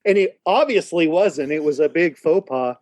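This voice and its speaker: A man's voice: on the high side, lively intonation, normal speed, a little mellow, no room sound. A man co-hosting a podcast, chatting casually and telling anecdotes. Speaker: podcast co-host.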